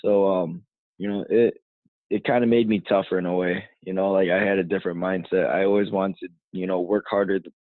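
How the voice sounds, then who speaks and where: A man's voice: sits low, steady tone, a little fast, no echo. A young man in his twenties from the United States, talking as a podcast guest in a casual, rambling way full of "you know" fillers, heard over a tinny phone line. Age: 20s. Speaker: guest, fighter.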